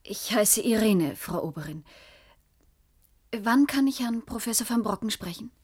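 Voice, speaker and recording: An actress in a German radio play voicing a guarded, earnest young nurse with a husky voice, polite and hesitant. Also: female voice, a little high, lively intonation, a little slow, a little mellow. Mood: formal, reserved.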